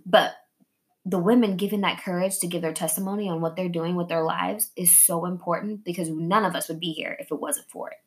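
A woman's voice: lowish voice, fairly even intonation, a little fast. A woman hosting a podcast in casual, candid conversation, blunt and swearing freely, acting out imagined dialogue as she talks. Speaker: podcast host.

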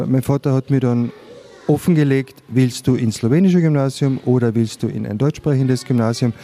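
A man's voice: medium pitch, dark tone. An older man from Carinthia with an Austrian accent, a physician giving candid, reflective personal testimony in an interview. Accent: Austrian German (Carinthian).

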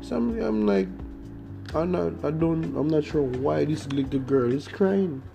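A young man with a Jamaican accent talks slowly through a casual podcast monologue, his voice gravelly and full of fry. He rambles and restarts as he goes, and guitar music plays clearly behind him. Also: on the high side. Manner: rambling, hesitant, many fillers and restarts. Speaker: podcast host.

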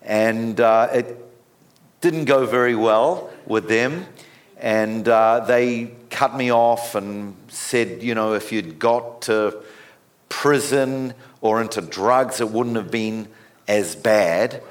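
A man in his fifties with a New Zealand accent, giving a sermon as a guest speaker and often stopping on fillers and restarts. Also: lowish voice. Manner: halting, with many fillers and restarts.

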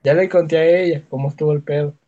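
A man's voice: on the high side, fairly even intonation, fast talker, warm tone. A young adult man with a Mexican accent chatting casually as a podcast co-host, telling personal stories in slangy, sweary language. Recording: dull lo-fi voice audio.

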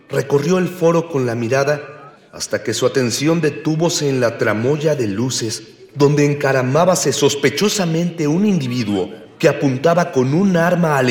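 A mock-literary storyteller played by a man narrates a radio serial. His mid-pitched voice is florid and solemn, ringing with echo and long reverb. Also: close to an everyday voice. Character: mock-literary male storyteller, hero's friend. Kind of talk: scripted radio drama narration. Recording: echoey hall reverb.

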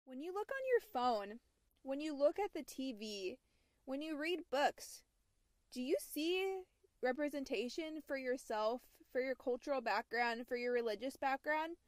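A woman's voice: sits high, animated, measured pace, no echo. A woman hosting a podcast, reading a scripted, earnest introduction. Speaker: podcast host.

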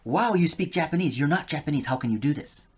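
An animated man talks in a relaxed interview, and his pitch rises and falls a lot as he gets excited. He laughs easily and speaks with eager enthusiasm. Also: fast talker, slightly rough. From United States. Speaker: guest, polyglot. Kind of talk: casual interview conversation.

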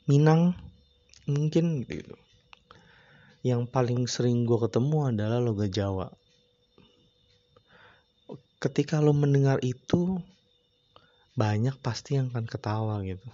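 A man hosting a casual solo podcast, talking in a relaxed, rambling, slangy way with frequent hesitations. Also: mid-range voice, muffled recording. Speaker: podcast host.

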